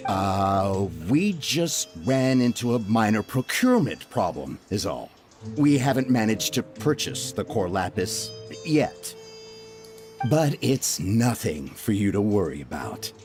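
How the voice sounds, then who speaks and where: Shady game merchant: lowish, slow, very animated, fawning and slippery, music underneath. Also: male voice. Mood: evasive. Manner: placating, deferential. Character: obsequious, evasive male merchant in shady dealings. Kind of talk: video game dialogue.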